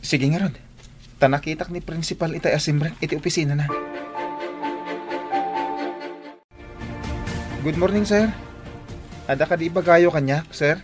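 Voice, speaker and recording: Man as teenage male student, lightish high pitch, earnest, polite, Ilocano Filipino accent, radio drama acting. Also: a little fast, music in the background, lightly acted.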